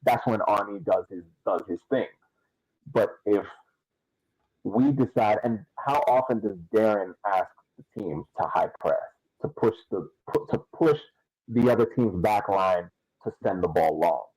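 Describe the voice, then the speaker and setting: A man on a podcast panel giving casual sports analysis, explaining in halting, emphatic phrases that he often repeats. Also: fairly low pitch, a little mellow, no echo, rough recording.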